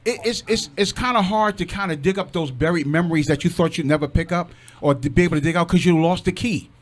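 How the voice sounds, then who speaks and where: A man's voice: fairly high pitch, quick pace. A man in his sixties co-hosting a radio show, telling stories casually and jovially with people chattering around him. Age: 60s. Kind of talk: casual chat. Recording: busy room with crowd chatter.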